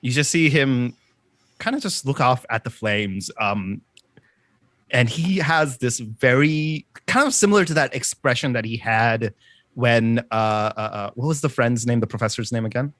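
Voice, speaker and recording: Male roleplayer, mid-pitched, dry sound, casual and halting as he improvises. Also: lively intonation, a bit bright, no echo. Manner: halting, searching for words. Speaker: player in a tabletop game. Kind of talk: casual, improvised character description.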